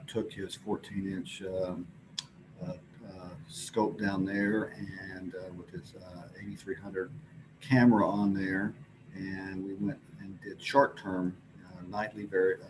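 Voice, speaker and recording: A man presenting scientific slides informally, explaining in a halting, hesitant way with pauses. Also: low-pitched. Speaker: presenter at an astronomy symposium. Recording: clearly noisy recording with background ambience.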